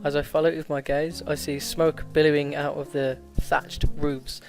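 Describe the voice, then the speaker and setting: A man's voice: a little high, flat delivery, normal speed, creaky, over music. A man hosting a let's play, reading a visual novel aloud with stumbles, self-corrections and laughs.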